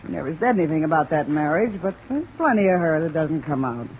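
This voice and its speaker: A woman's voice: deep voice, big pitch swings, fast talker, a little mellow, muffled recording. An actress playing a brassy, cagey small-town hotel desk woman in an old-time radio drama, with slangy, familiar talk. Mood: musing, familiar. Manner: folksy slangy familiarity, evasive. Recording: old radio recording under rain noise.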